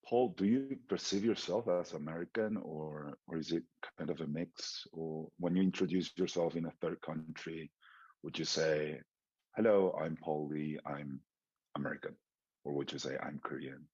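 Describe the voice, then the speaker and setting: Argentine guy moderating, super low husky voice, reflective, dry muffled audio. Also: moderate pace, dry recording. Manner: reflective, thinking out loud. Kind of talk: panel conversation.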